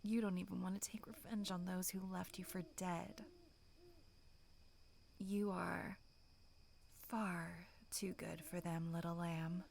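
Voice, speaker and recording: A woman voicing a bloodthirsty female demonic monster in a breathy, menacing whisper for a horror ASMR roleplay, sinister yet tender. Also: lowish voice, little pitch movement, a little mellow, slight background noise. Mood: soft, menacing. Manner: slow, drawn-out, menacing whispering.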